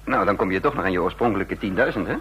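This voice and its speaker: An actor in an old radio play playing a stern, shrewd intelligence chief, with husky, authoritative, clipped delivery. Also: male voice, low-pitched, racing, a bit bright, highs cut off, slight background noise. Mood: shrewd, businesslike. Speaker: narrator and lead actor in a radio drama. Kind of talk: vintage Dutch radio play acting.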